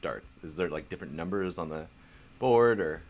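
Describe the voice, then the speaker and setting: A man hosting a band interview, speaking in a deadpan, halting manner. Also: sits low. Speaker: talk show host.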